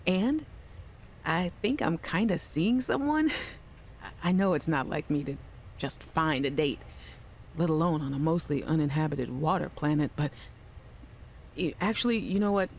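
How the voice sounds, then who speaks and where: An actress playing a cheerful, affectionate young scientist leaving voice messages for a little sister in a video game. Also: female voice, sits low, a little slow, pauses often, slight background noise. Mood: playful, giddy. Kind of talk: scripted audio message voice acting.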